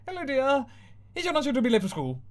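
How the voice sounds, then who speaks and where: A man's voice: very high voice, wildly swooping pitch, fast, pitched above the performer's own voice, full character voice. A man voicing a frazzled, chirpy TV mother in a parody story reading. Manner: chirpy, fraying into shrieks. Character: frazzled sing-song TV mother.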